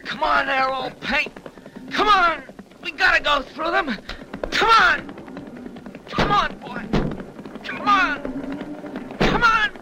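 Plucky teenage boy rider: very high, earnest, determined, on a noisy old radio drama recording. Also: lively intonation, slow pace, pauses often, bright, forceful tone, full character voice. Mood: urgent. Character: eager, plucky fifteen-year-old boy express rider. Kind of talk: 1930s radio acting.

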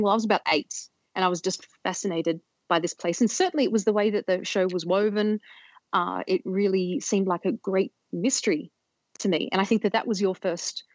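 A woman's voice: medium pitch, no echo, highs cut off. A woman podcast host and author telling a history story in casual chat, with frequent restarts. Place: home studio in Colorado.

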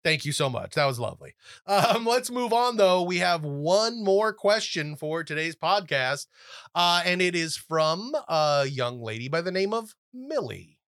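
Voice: medium pitch, big pitch swings, measured pace, fairly bright tone, no echo, clean studio sound. A podcast host chatting and reading out a listener's letter, chatty and encouraging. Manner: chatty, encouraging, laughs often.

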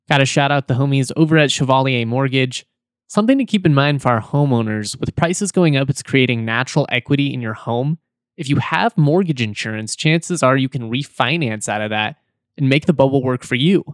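A man hosting a sports podcast in casual chat, his voice slightly hoarse, chatty and repeating words as he goes. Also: mid-range voice, animated, fairly quick, no echo, clean studio sound. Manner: chatty, repeats words, laughs now and then. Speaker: podcast host.

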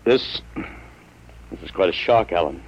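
A man's voice: sits low, unhurried, vocal fry, a little hiss or hum. An actor playing a worried middle-aged father who stammers in disbelief, in a 1940s radio drama. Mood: bewildered. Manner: stammering, incredulous. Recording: dull lo-fi old radio recording.

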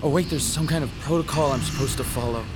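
A man's voice: medium pitch, fast talker, over music, close to an everyday voice. An actor playing a timid comic book shop owner in a radio drama, a nervous man with an earnest manner, trying to sound defiant. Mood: awed, flustered. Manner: earnest, hesitant.

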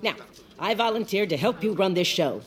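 An actress playing a bossy, stubborn union organizer with a brassy, declamatory manner in a comic audio drama. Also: female voice, mid-range voice, lively intonation, moderate pace, close to an everyday voice.

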